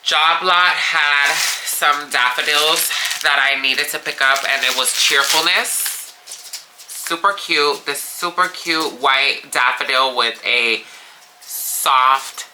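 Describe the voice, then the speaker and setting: A gardening vlogger talks through a haul at an unhurried pace, sounding stuffy and congested from allergies. The tone stays eager and gushing, and a steady hiss of background noise sits under the voice. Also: mid-range voice. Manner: enthusiastic, gushing about flowers. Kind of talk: casual show-and-tell haul.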